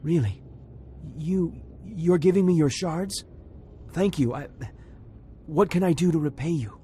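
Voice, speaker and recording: A man's voice: a little high, unhurried, warm tone, a little hiss or hum, lightly acted. Anxious, faltering male Sith acolyte, acted by a man. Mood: relieved, grateful. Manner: pleading, faltering, earnest. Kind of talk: scripted video game drama.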